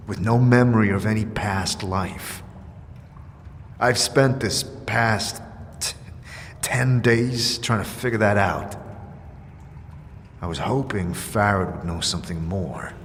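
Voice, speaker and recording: A man playing a weary, bewildered immortal wanderer with no memory, speaking earnestly and hesitantly in an audio drama. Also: lowish voice. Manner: hesitant, searching. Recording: long reverb, ambient noise behind.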